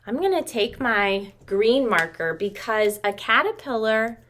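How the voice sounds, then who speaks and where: A woman's voice: a little high, lively intonation, a little slow, fairly bright tone. A woman in her thirties, a preschool teacher, talking simply to young children through a craft demonstration at home. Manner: simple, child-directed talk, addressing listeners as friends. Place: small room at home.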